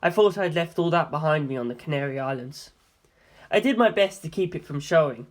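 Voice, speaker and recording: Expressive woman narrating a story. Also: sits low, fairly quick. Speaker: audiobook reader. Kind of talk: scripted story narration with character voices.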